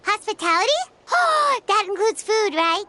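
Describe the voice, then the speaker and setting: A woman voicing a tiny, cheerful, childlike fairy companion in a video game, squeaky and excitable. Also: a little slow, a little hiss or hum, heavily acted. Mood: excited curious. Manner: speaks of self in third person, excitable. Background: music.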